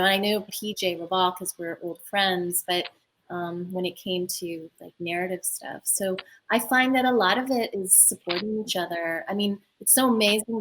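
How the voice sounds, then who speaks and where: A woman's voice: mid-range voice, fairly bright tone, rough recording. Hesitant, reflective woman filmmaker. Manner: reflective, hesitant with many fillers. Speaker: filmmaker panelist. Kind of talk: casual roundtable talk. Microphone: video call.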